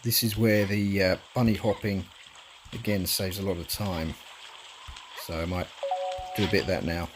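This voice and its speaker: Relaxed man, nasal from a cold. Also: low voice, normal speed, pauses often, rough recording. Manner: relaxed, rambling, frequent ums and uhs. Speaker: speedrunner commentating his run. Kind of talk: casual live commentary over gameplay. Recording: game music playing behind the voice.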